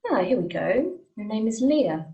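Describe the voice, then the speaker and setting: A woman's voice: on the high side, very animated, moderate pace, lo-fi sound, faint music behind. Matter-of-fact woman welcoming newcomers to heaven, read by an actress. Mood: calm, matter-of-fact. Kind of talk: script table read.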